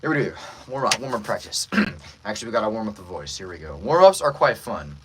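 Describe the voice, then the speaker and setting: A man's voice: on the low side, sing-song, fast talker, noisy background. A man hosting a casual livestream as a voice actor, joking playfully with the viewers as he talks.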